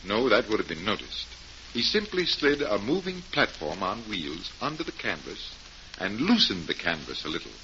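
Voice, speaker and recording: Confident low-voiced magician detective. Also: male voice, huge pitch swings, normal speed, pushed and bright, exaggerated voice. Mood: explaining, assured. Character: confident male magician detective, calm and assured. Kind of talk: 1940s radio acting. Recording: dull, noisy vintage radio recording.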